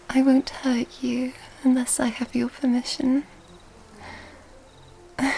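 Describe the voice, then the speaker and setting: A woman playing an elegant, seductive female vampire in an ASMR roleplay, soft-spoken and breathy, often whispering in a genteel, flirtatious way. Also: high-pitched, slight background noise, exaggerated voice. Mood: predatory, soothing. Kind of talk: ASMR roleplay, intimate scripted monologue. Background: background music.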